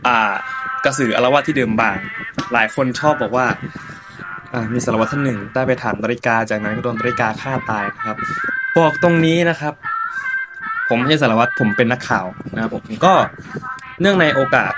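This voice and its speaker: A man with a mid-range voice greets young viewers informally and politely, a little hesitantly. Music is clearly audible behind him. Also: mid-range voice, brisk, pauses often. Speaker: actor giving a holiday greeting to children.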